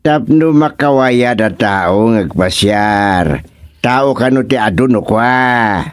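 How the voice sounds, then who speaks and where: Casting: easygoing Ilocano villager who grumbles, unhurried, mid-pitched, dry studio sound, radio comedy. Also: male voice, lively intonation, clear, resonant tone, no echo. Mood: chatty, explaining.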